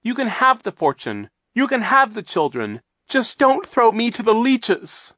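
Fearful fussy old woman, high pleading voice, read by a male narrator. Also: expressive, normal speed, dry recording, clean studio sound, close to an everyday voice. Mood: desperate. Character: frightened old woman guardian, fussy about grammar.

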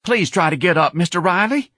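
A man's voice: on the high side, big pitch swings, normal speed, fairly bright tone, husky, dry recording, studio quality, voice pushed up, exaggerated voice. A man voicing a nervous, eager office manager as a thin, anxious tenor in an audiobook. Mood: alarmed. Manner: anxious, placating.

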